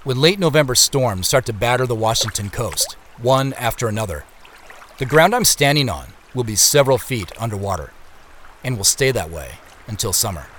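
An actor playing a reflective man who narrates his secretive search in a measured, descriptive way for a scripted audio drama. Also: medium pitch, very animated, a bit bright, slight background noise. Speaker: narrator and lead character.

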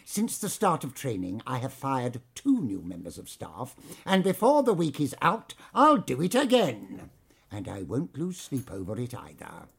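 Officious English manager, pompous, theatrical, husky voice, wildly swooping pitch. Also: male voice, big pitch swings, measured pace, fairly bright tone, full character voice. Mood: furious. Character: pompous, officious, bullying male theme park manager. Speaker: theme park training manager. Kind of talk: audio drama acting.

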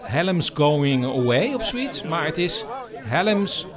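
A man's voice: on the high side, very animated, slow. A man narrating an audio report as podcast host, in a wry, hesitant storytelling way. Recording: outdoor crowd and music behind. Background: football crowd, music.

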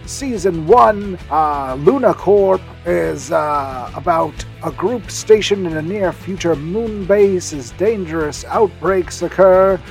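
A man's voice: high-pitched, slow, vocal fry, few pauses, faint music behind. A man giving a chatty podcast recommendation in a rambling, self-deprecating way. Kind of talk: chatty scripted-feeling promo talk.

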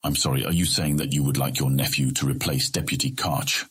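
A shrewd thieves' guildmaster in a fantasy drama. His voice is extremely deep and husky, and he phrases everything with diplomatic politeness over hidden scorn. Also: fairly even intonation, fairly quick, fairly bright tone, exaggerated voice. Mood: incredulous. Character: shrewd, feared thieves' guildmaster, smoothly deferential, privately scornful. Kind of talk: fantasy audio drama acting.

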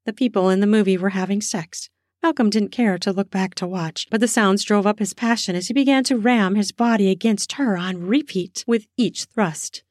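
A woman narrating an erotic story in an unhurried, intimate reading. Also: mid-range voice, a little mellow, no echo, clean studio sound.

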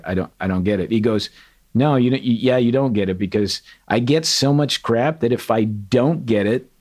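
A middle-aged man from Portugal, a retired sergeant major, telling candid stories in an interview with an earnest, animated manner. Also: on the low side. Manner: earnest, animated, quotes others in dialogue. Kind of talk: candid storytelling.

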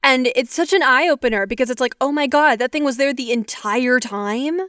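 A woman's voice: high-pitched, normal speed, fairly bright tone, no echo, studio quality. A woman hosting a podcast, asking articulate, curious questions about sound design. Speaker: podcast host interviewing guests. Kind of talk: interview questions.

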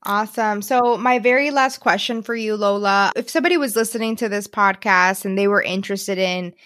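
A woman's voice: mid-range voice, brisk, fairly bright tone. A woman hosting a podcast, asking her guest a final question in a casual interview. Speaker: podcast host.

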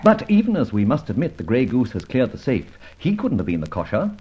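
An actor playing a cocky, quick-witted gentleman adventurer in a radio drama, with a breezy, wry, theatrical delivery. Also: mid-range voice, wildly swooping pitch, husky. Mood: brisk, teasing. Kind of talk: old-time radio drama acting and narration. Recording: muffled old radio recording, music behind.

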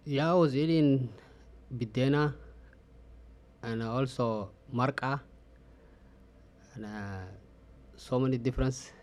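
Halting middle-aged man from Ethiopia. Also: medium pitch, darkish tone. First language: Oromo. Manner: halting, frequent "uh" fillers, simple phrasing. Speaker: interview guest. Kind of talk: casual interview answers.

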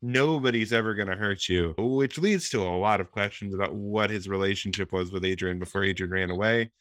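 A man's voice: fairly low pitch, a little fast, fairly bright tone, dry recording, clean studio sound. A man co-hosting a casual podcast, recapping a film's plot while musing and hedging aloud. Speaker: podcast co-host. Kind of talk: casual movie discussion.

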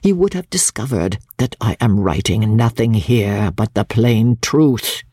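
A woman's voice: a bass voice, animated, unhurried, warm tone, husky, no echo, clean studio sound, exaggerated voice. A woman reading classic literature dramatically as a prim, self-righteous older mother, pompous, genteel and indignant in manner. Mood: coldly scornful.

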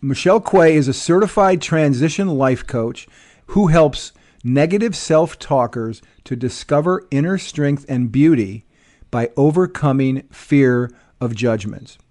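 A man hosting a podcast, reading a guest's bio in a deliberate, measured way. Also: medium pitch. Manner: warm, deliberate, reads bio aloud. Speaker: podcast host. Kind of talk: podcast introduction.

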